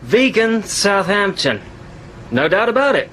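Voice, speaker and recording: An actor playing a brash naval officer with an emphatic delivery in a radio drama. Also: male voice, high-pitched, big pitch swings, measured pace, a bit bright, a little hiss or hum, heavily acted, poor audio. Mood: brisk, exasperated.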